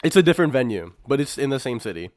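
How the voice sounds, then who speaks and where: A man's voice: mid-range voice, very animated, very fast, a little mellow. A man co-hosting a podcast, giving his opinions in casual chat. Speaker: podcast co-host.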